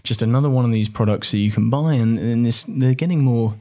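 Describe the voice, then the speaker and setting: A man's voice: mid-range voice, fairly quick, a little mellow. Man asking long thoughtful questions. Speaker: podcast host. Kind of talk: interviewing a guest.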